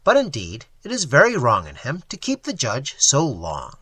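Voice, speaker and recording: Man putting on a drawling idle lord, lilting lively pitch, bright tone. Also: moderate pace, husky, no room sound, heavily acted. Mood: airy, drawling. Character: languid, self-indulgent male aristocrat.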